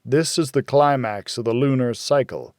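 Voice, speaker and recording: A male audiobook narrator with a middle-pitched voice tells a first-person noir thriller. His delivery is measured and dramatic. The sound is very dry, like a recording booth. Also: a little mellow, no echo.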